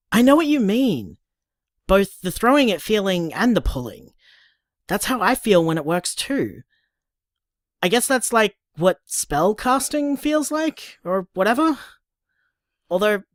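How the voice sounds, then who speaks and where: Australian woman doing a wry sweary young partner, pitch all over the place, dry close sound. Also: dry recording. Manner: swearing often, animated, wry. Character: blunt, sweary young partner amused by magic. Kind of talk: audio drama, voice-message monologue.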